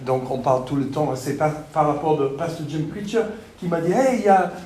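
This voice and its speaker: A man from Texas with a Texan accent, speaking French as a second language, preaching a sermon with jokes and halting fillers. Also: a little high, lively intonation, darkish tone. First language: English. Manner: joking, self-deprecating, halting with fillers. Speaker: pastor. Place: church hall. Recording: echoey church hall.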